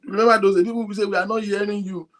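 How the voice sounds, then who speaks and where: A man hosting an online talk show, speaking softly and addressing his viewers directly. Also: high-pitched, steady tone, fast. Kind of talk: casual talk to a live audience. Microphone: phone linked to a computer.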